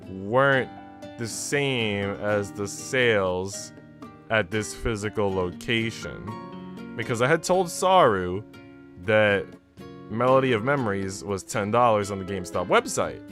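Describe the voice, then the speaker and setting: A man's voice: mid-range voice, fairly bright tone. A man live streaming, switching between reading a visual novel aloud and slangy, joking chat with casual swearing. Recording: music playing behind the voice. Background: plucked guitar music.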